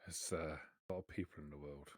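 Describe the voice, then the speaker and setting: Low-voiced man playing a tabletop game. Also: steady tone, fast talker, a little hiss or hum. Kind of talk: casual in-character table talk.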